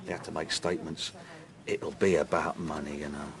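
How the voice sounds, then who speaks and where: An actor playing a chatty, deferential cafe waiter in a radio drama, eager to gossip. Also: male voice, rumbling low, level tone, moderate pace. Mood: chatty, knowing. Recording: busy cafe crowd behind.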